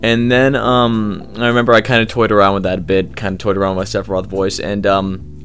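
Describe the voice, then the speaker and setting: A man's voice: lowish voice, level tone, fairly quick, fairly bright tone, soft background music. A young man, a hobby voice actor, answering interview questions in a loose, rambling way, full of fillers and restarts.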